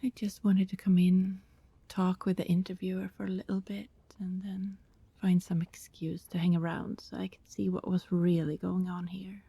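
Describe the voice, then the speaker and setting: A woman's voice: on the low side, flat delivery, mellow, dry recording, full character voice. A woman acting a nervous vampire neighbor, close and dry. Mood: rattled, hushed. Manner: halting, rambling, breathy asides dropping into whispers. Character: anxious, lovelorn female vampire neighbor, secretive and coaxing. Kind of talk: ASMR roleplay acting, addressing the listener.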